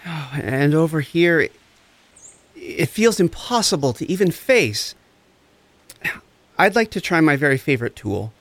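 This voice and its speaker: A man's voice: fairly high pitch, very animated, measured pace, long pauses, fairly bright tone, no room sound, exaggerated voice. A man acting the part of a compassionate recovery coach in an audio drama, tender, soothing and earnest. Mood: encouraging, instructive. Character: compassionate, gentle, encouraging recovery coach and friend.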